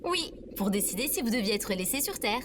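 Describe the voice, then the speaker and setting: High, lilting sarcastic AI assistant played by a woman. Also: very animated, fairly quick, slight background noise. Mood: flatly insistent. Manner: formal, precise, dryly mocking. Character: sarcastic, maddeningly matter-of-fact female AI robot assistant. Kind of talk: scripted audio comedy drama.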